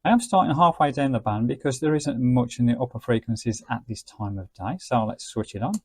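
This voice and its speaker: A man's voice: medium pitch, a little fast, slightly rough. A man presenting a product review as a narrated demonstration. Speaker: reviewer and presenter of gadget videos.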